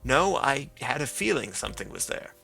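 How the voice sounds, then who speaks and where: A troubled young man on the stand, answering in a hesitant, guarded way, as a male narrator reads him. The voice is gravelly and crackling with a bright, tense edge. It comes from an audiobook of a classic story. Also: mid-range voice, very animated, normal speed, faint music behind, full character voice.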